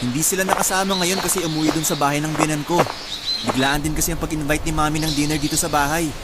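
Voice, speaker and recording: A man's voice: fairly high pitch, flat delivery, fast talker, very noisy, natural voice. An actor playing an easygoing young father who is the peacemaking brother in a Tagalog radio drama. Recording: loud music under the dialogue.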